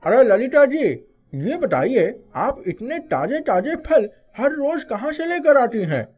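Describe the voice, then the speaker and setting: An inquisitive village man, acted by a male performer, swings widely in pitch with a slightly husky edge. He questions nosily and with exaggeration, as in a cartoon folk tale. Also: very animated, slow, a little mellow, exaggerated voice. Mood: curious, probing. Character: inquisitive village man, fruit shop customer.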